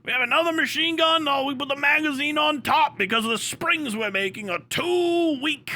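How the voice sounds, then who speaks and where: A man's voice: extremely high pitch, normal speed, pushed and bright, pitched above the performer's own voice, exaggerated voice. A man livestreamer voicing a boastful, theatrical old-time gun enthusiast in a pompous, declaiming comic skit. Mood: proud, bombastic.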